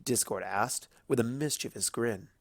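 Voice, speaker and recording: A man's voice: mid-range voice, moderate pace, vocal fry, dry recording, clean studio sound. A man reading a scripted story aloud as its narrator.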